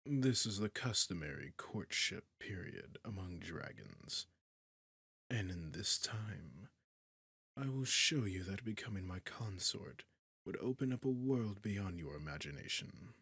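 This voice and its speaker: A man playing an imperious, possessive dragon king in a fantasy audio roleplay, speaking formally and deliberately in a husky growl that sinks into whispers. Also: deep voice, big pitch swings, a bit bright, no room sound, studio quality, full character voice. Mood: possessive, courtly. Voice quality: husky, gravelly, breathy growl that drops into whispers. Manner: slow, formal, commanding, deliberate pauses. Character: imperious, possessive dragon king, menacing yet courtly suitor. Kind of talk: scripted fantasy audio roleplay addressed to the listener.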